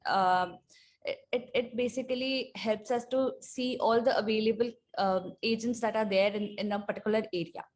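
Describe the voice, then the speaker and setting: Woman giving a halting software walkthrough. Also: fairly high pitch, steady tone. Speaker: software tutorial presenter. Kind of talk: unscripted screen-share walkthrough.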